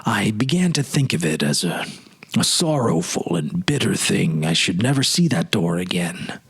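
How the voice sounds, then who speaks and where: A man playing a weary, haunted politician of forty in a storybook reading, confessing his regrets in a halting, sorrowful, dramatic way. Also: low-pitched, big pitch swings, breathy edge, heavily acted. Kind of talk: dramatic literary audiobook reading.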